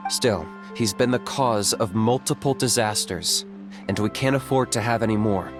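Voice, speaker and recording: Man voicing a stoic, deadpan young warrior. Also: fairly low pitch, music in the background, natural voice. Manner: deadpan, calm and measured. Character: stoic young male warrior, blunt and practical. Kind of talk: video game voice acting.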